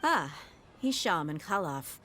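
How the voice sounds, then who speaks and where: A woman's voice: fairly low pitch, sing-song, measured pace, bright, forceful tone, heavily acted. A woman voicing a confident, laid-back mercenary warrior in a video game, easygoing and assured. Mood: relaxed.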